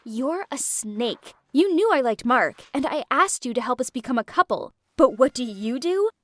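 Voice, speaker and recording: A sneering, sarcastic young woman, as a narrator puts her on. Her voice is high and dry, close to the mic. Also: animated, normal speed, dry recording. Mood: spiteful.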